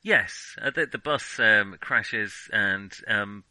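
A man's voice: fairly low pitch, slow, bright, forceful tone, no echo. A middle-aged man in casual discussion as a film podcast co-host, reflective and given to hedging his remarks.

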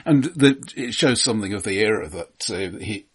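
Husky man, wry and thoughtful. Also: fairly low pitch, animated, normal speed. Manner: thoughtful, dry wry humour, frequent restarts. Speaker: podcast co-host. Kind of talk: casual film discussion.

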